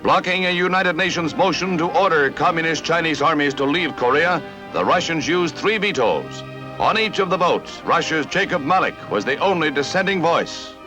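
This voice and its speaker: A vintage 1950 newsreel soundtrack carries loud music under an American man's narration. His voice is a bit high, and he announces in a dramatic, urgent scripted style. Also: expressive, normal speed, rough recording.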